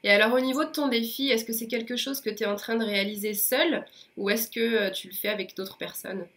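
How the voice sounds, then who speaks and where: A woman's voice: fairly high pitch, fairly even intonation, fairly quick, fairly bright tone. A French woman hosting a casual interview with friendly, upbeat questions while she has a cold. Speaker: interviewer, host.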